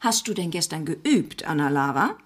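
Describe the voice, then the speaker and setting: A woman's voice: deep voice, big pitch swings, moderate pace. A woman playing an exacting, frazzled mother in a children's radio play, sounding exasperated.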